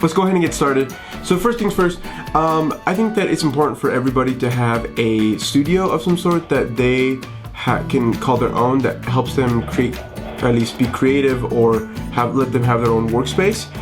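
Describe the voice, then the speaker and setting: A man's voice: medium pitch, moderate pace, few pauses, music in the background. Upbeat young man rambling through a vlog. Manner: rambling and upbeat, lots of fillers and restarts. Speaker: vlogger. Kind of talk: casual vlog studio tour. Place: small home office studio, indoors.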